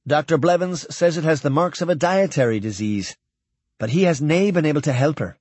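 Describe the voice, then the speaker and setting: A man's voice: fairly high pitch, brisk, a little mellow, dry recording, natural voice. A man voicing an earnest young spaceship crewman with a Scottish accent in a science fiction audiobook. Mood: concerned.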